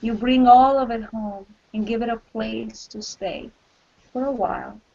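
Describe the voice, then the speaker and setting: A woman reads poetic prose with great slowness. Her voice sits fairly high and her delivery is solemn and deliberate. The sound is a little muffled and lo-fi. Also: fairly even intonation, vocal fry, warm tone. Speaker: co-reader of a book. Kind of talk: poetic literary reading.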